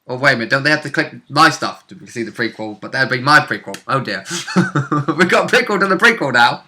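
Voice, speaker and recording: A man's voice: mid-range voice, expressive, fairly quick, bright, forceful tone. A man chatting casually as a co-host and player, playful and self-deprecating in his banter.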